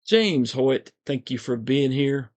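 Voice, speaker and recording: A man with a calm, soothing voice, hosting a casual livestream, reading viewer comments in a relaxed, meandering way. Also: medium pitch, slow. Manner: relaxed, meandering, frequent fillers and soft chuckles. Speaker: livestream host.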